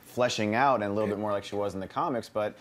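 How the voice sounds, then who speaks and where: Quick man with a lowish voice. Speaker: panelist on a movie talk show. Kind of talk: casual panel discussion.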